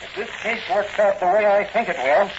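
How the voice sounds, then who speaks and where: An actor playing a confident young crimefighter in a brisk, bold 1940s radio style. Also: male voice, high-pitched, natural voice. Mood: brisk, decisive. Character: confident young male costumed crimefighter and policeman. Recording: scratchy, muffled 1940s radio recording.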